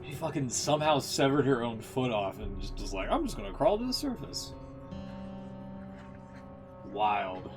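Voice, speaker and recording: Casual, slangy low-voiced man reacting. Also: sing-song, moderate pace, long pauses, music in the background. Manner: slangy, swearing, rambling. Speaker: streamer reacting to game story cutscenes. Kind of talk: casual off-the-cuff reaction commentary.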